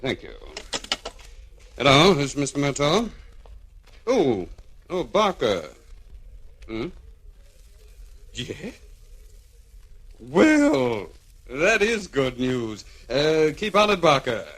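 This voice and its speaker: An actor playing a smooth, hesitant crooked lawyer in a 1940s radio crime serial, with drawn-out politeness. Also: male voice, a little high, very animated, fairly bright tone, full character voice. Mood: polite, businesslike. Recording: old 1940s radio recording.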